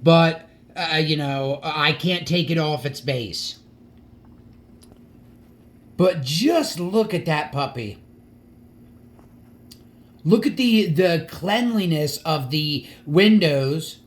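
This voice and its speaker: Man, high voice, slow pace, slight stutter, restarts, enthusiastic, casual collector vlog. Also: expressive. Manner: halting, repeats words and restarts often; stutters at times. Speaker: collector showing diecast models.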